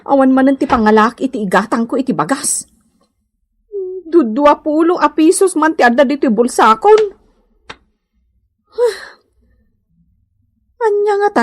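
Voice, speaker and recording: Radio comedy drama: whiny, theatrical Ilocano mother, high-pitched, slow and very animated. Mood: wailing, scolding. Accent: Ilocano Filipino. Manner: exclaiming, wailing, drawn-out. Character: struggling, whiny, dramatic Ilocano wife and mother, small-time gambler.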